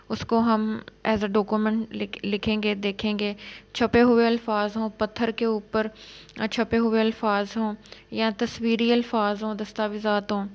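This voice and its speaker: A woman giving an exam-prep law lecture, reading English clauses slowly and explaining them in Urdu. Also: mid-range voice.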